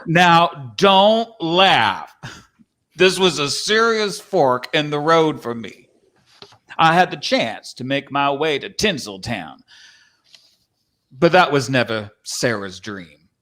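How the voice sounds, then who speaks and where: A man's voice: fairly high pitch, expressive, takes it slowly, a bit bright. A man playing a folksy, courtly, wistful cowboy from the Old West in a dramatic script reading. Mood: wistful confiding.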